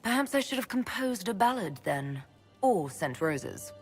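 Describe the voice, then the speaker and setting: A woman's voice: medium pitch, sing-song, a little slow, slightly rough, faint music behind, exaggerated voice. An actress playing a self-assured, courtly woman leader in a video game, composed and direct in her delivery. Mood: tender, teasing. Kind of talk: video game cut-scene acting.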